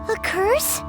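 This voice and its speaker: An actress voicing a tiny, childlike, naive fairy companion with a cutesy manner in an anime game. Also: female voice. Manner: cutesy, talks of herself in third person.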